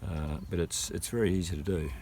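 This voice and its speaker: A man's voice: low voice, steady tone, fairly quick, over loud music. An older man with an Australian accent, explaining things casually and hesitantly as a homeowner, thinking aloud as he goes. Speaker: homeowner and gardener showing his water tanks.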